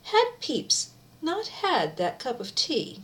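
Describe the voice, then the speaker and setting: A woman's voice: high-pitched, wildly swooping pitch, takes it slowly. A middle-aged woman speaking informally, as someone sharing and reading a favorite book aloud in an amateur video. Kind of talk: casual chat and amateur read-aloud of a book.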